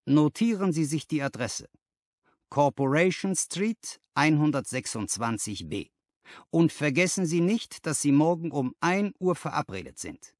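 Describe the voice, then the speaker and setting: A man's voice: fairly high pitch, very animated, a little slow, darkish tone, no room sound, clean studio sound, pitched above the performer's own voice. A man voicing an effusive, persuasive businessman in a story, emphatic and a little patronising. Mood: hearty. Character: brisk, effusive businessman recruiter, flattering and persuasive.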